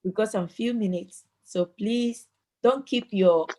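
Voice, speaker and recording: A woman's voice: mid-range voice, a little slow, mellow. Earnest woman in a video call discussion. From Ghana. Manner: earnest, repeats words when starting sentences. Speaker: participant moderating a community discussion. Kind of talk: community meeting talk.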